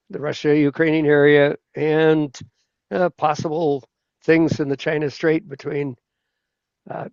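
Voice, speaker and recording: A man's voice: a little high, creaky, dry recording. An older man co-hosting a panel, rambling and repeating words as he talks. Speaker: co-host, author. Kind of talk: panel discussion. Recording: dull, dry lo-fi mic.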